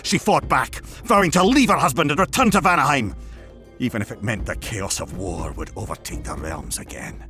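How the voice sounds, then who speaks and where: A man's voice: mid-range voice, expressive, moderate pace. A man narrating a scripted mythological tale in a theatrical, emphatic, dramatic storytelling style. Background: background music.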